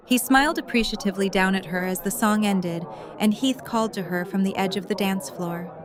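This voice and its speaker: A woman's voice: mid-range voice, brisk, crowd noise. A woman narrator reading scripted third-person story narration for an audio drama.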